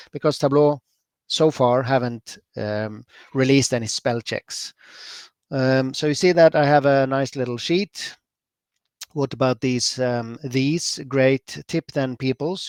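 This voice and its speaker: Norwegian man casually demoing software. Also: medium pitch, no echo. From Norway. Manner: relaxed and chatty, with many fillers and self-corrections. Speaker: presenter at a user group. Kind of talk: screen-share software demo.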